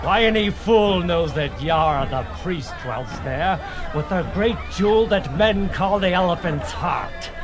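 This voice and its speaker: High, blustering fat rogue. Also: male voice, lively intonation, unhurried, music in the background. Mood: mocking, condescending. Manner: blustering, bawdy, theatrical, jeering. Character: fat, gross, boastful kidnapper, mocking and quick-tempered. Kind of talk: fantasy audio drama acting.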